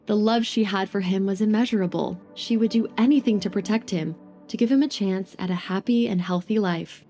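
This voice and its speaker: Mellow, low-key woman narrator. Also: fairly quick, soft background music. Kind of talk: dramatic scripted story narration.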